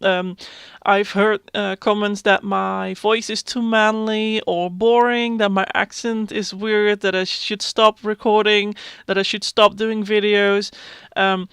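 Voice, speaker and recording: Self-conscious Dutch woman in her thirties, halting casual vlog voiceover, clean dry read. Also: medium pitch, little pitch movement, clear, resonant tone, no echo. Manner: hesitant, many fillers and restarts; self-conscious, soft-spoken. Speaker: YouTube Sims builder. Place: home.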